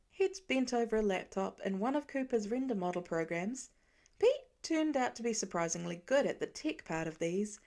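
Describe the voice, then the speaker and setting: A woman's voice: a little high, warm tone. A woman reading a scripted story aloud as an audiobook narrator. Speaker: fan fiction narrator.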